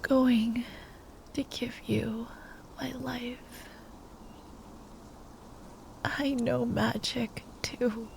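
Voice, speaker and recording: A woman playing a grieving, tender young sorceress at a bedside in an intimate ASMR roleplay, speaking in a hushed, halting way that often slips into whispers. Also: a little high, flat delivery, vocal fry, slight background noise, exaggerated voice. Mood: tender resolve.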